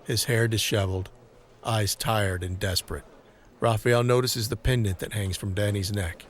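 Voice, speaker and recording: A man narrating a scripted audio drama in a deliberate, dramatic manner. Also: on the low side, fairly even intonation. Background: dramatic music.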